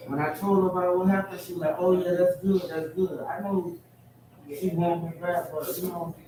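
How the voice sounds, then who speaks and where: A man in his forties sharing family troubles in a counseling group, telling a rambling story with pauses and fillers. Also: high-pitched, level tone. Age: 40s. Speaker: group member. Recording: very echoey room from far off, noisy.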